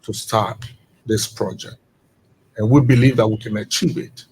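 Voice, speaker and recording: A man's voice: on the low side, fairly even intonation, moderate pace, a little mellow, a little hiss or hum, poor audio. A middle-aged Liberian man with a Liberian accent, speaking as a guest on a radio interview and pressing his case with emphatic rhetorical questions. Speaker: executive director of a returnee network.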